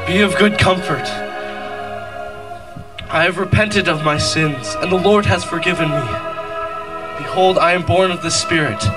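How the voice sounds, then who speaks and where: A man's voice: fairly high pitch, measured pace, long pauses, natural voice. A young man playing an earnest scriptural rebel turned convert in an amateur outdoor pageant. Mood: earnest, repentant. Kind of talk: amateur stage pageant recitation. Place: outdoor stage at night. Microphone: handheld mic, filmed from audience. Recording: distant outdoor stage mic with music.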